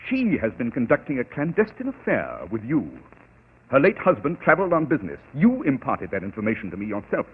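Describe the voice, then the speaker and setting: An actor playing a cool, arrogant master detective in an old radio drama, measured, precise and dryly cutting. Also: male voice, medium pitch, huge pitch swings, husky, exaggerated voice. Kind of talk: 1940s radio acting. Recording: tinny old radio broadcast.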